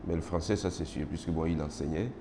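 A middle-aged man from Côte d'Ivoire with an Ivorian French accent, whose first language is Baoulé, answering formal interview questions in a measured way with many hesitations. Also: very deep voice. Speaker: interviewee, magistrate. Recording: noisy roomy recording with long reverb.

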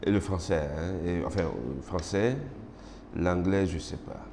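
A middle-aged man from Côte d'Ivoire with an Ivorian French accent, whose first language is Baoulé, answering formal interview questions in a measured way with many hesitations. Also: low-pitched, steady tone. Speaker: interviewee, magistrate. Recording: noisy roomy recording with long reverb.

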